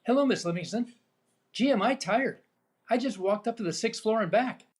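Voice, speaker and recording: A man's voice: high-pitched, normal speed, a little hiss or hum, close to an everyday voice. An actor playing a naive, dim, sweet-natured young man in an old-time radio comedy. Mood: weary.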